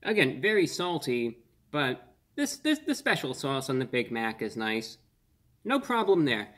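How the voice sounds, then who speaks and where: A man in his twenties hosting a casual food review on camera, speaking in an earnest, measured way with slightly formal phrasing. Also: mid-range voice, big pitch swings, fairly bright tone. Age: 20s. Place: small indoor room.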